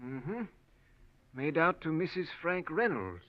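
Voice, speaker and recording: A man's voice: a little high, very animated, slow pace. A man acting as a cautious, polite drugstore pharmacist in a 1940s radio drama, businesslike and a little hesitant. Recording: dull lo-fi old radio recording.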